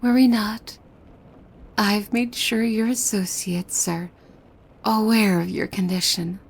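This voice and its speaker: A woman playing a possessive, devoted demon maid in an ASMR roleplay, coy and formal, with a soft breathy voice that often slips into whispers. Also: mid-range voice, fairly even intonation, slow. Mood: possessive, sly. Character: possessive, devoted young female demon maid, sweetly menacing. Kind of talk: ASMR roleplay, scripted monologue to the listener.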